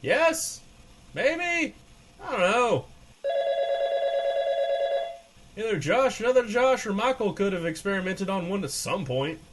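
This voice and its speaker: An actor playing a sarcastic, hedging, foul-mouthed small-town radio host in a comedic audio drama, trading banter. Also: male voice, sits high, big pitch swings, moderate pace, background noise. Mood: flustered. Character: irreverent, flustered small-town radio host, adult man.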